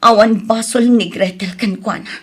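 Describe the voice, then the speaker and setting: A woman's voice: fairly high pitch, steady tone, normal speed, a little hiss or hum, natural voice. A woman acting an anxious, aggrieved mother in an Ilocano radio drama, speaking earnestly with an Ilocano accent.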